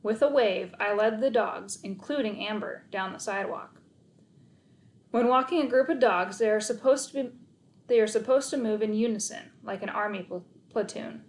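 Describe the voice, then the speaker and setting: A woman narrating a children's story aloud in an expressive read-aloud style. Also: medium pitch.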